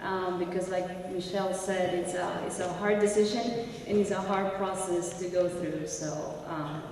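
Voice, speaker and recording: A woman's voice: fairly low pitch, fairly even intonation, unhurried, darkish tone, slight background noise. A woman, giving earnest short thanks with frequent fillers. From Colombia. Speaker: new citizen giving a short speech. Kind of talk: short spoken thanks at a ceremony. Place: large courtroom or hall. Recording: echoey hall, heard from far off.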